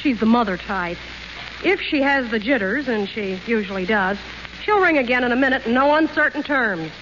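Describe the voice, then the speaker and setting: Wisecracking maid, dry deadpan, fairly high voice, dull hissy old radio sound. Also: female voice, moderate pace. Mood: wry. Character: wisecracking, sardonic household maid. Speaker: Molly. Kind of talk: 1930s radio play acting.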